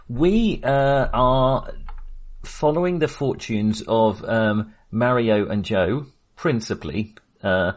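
A man co-hosting a podcast, casually discussing films in halting, hesitant phrases. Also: mid-range voice. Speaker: podcast co-host.

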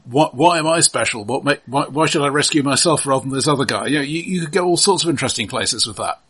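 A man in a casual film discussion as a podcast host, analytical and halting, with repeated starts. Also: medium pitch, fairly bright tone, breathy edge.

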